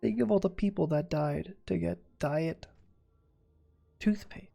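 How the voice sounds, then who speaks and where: A man's voice: high voice, measured pace, creaky, dark tone, soft background music. A man giving casual, sarcastic commentary while playing a video game, with muttered asides. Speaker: gameplay streamer.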